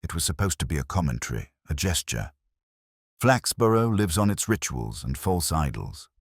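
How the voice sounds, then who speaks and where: An uneasy woman suspect in a mystery audiobook, read by a deep-voiced man. The delivery is hesitant and defensive, husky and full of shifting inflection. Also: very animated, moderate pace, dry recording, clean studio sound, heavily acted. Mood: guilty, resentful. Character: uneasy, evasive woman confessing to a petty scheme.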